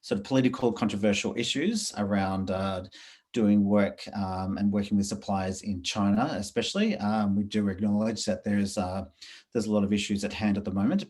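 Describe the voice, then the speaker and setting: A man's voice: on the low side, a little mellow, breathy edge. A man with an Australian accent hosting a webinar from home, rambling with many fillers. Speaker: webinar host. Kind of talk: casual introduction. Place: home, indoors.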